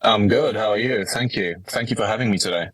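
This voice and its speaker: A middle-aged man with a Polish accent answering interview questions conversationally, in halting phrases padded with asides. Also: lowish voice, no echo, rough recording. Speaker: podcast guest, company managing director.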